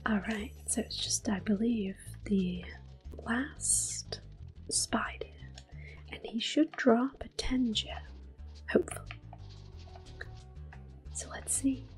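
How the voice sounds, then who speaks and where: A woman whispering soft, unhurried commentary as she plays through a video game for an ASMR channel. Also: on the high side, soft background music. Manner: soft, unhurried whisper with occasional soft-spoken words. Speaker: ASMR gameplay host.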